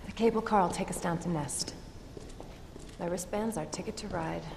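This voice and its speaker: A woman's voice: fairly low pitch, moderate pace, vocal fry, background noise. A woman playing a guarded, tough injured operative in a video game, with an American accent and a clipped, stoic manner, strained by pain. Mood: cool, purposeful. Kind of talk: cinematic video game acting.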